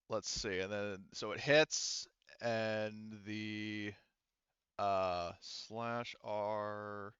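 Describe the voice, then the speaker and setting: Bright, clear-voiced man running a tabletop game. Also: on the low side, dry recording, muffled recording. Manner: casual, profane. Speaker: game master. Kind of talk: running a tabletop game, casual rules talk.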